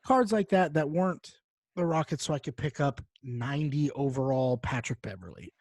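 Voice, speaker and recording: A man co-hosting a podcast, telling gaming stories in an animated, casual, sweary way. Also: a little high, normal speed, no room sound, studio quality. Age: adult, parent of a young child. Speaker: podcast co-host. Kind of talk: casual chat, long gaming anecdote.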